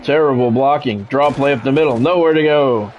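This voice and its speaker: A man's voice: medium pitch, fairly quick, darkish tone, dull audio, a little hiss or hum. A man doing practised radio-style football commentary in clipped phrases, mixed with casual chat, as he streams a video game.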